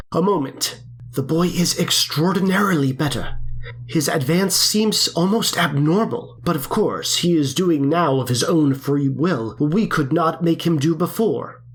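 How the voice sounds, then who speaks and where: A composed country doctor, played by an actor, formal and measured, with a husky, breathy edge to the voice. He reasons gently and never hurries. It is an audio drama part. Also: fairly high pitch, exaggerated voice. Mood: soothing. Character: calm, measured country doctor, middle-aged man.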